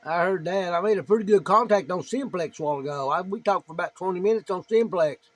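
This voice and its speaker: Higher-voiced man on ham radio. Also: fast, no room sound. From United States. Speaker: amateur radio operator, video maker. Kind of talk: casual ham radio chat.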